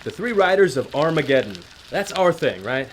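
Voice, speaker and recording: A man's voice: a little high, sing-song, moderate pace. A man playing Pestilence as a glum, hesitant suburban brother, grumbling reluctantly in a mockumentary audio comedy. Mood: sullen, defensive. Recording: clear background ambient noise.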